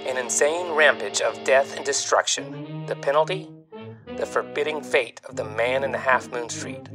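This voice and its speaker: A man with a mid-pitched voice presents a scripted film-magazine segment. His delivery is theatrical and tongue-in-cheek, with rhetorical questions set up for the listener. Guitar music plays clearly underneath. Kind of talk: scripted magazine-review narration.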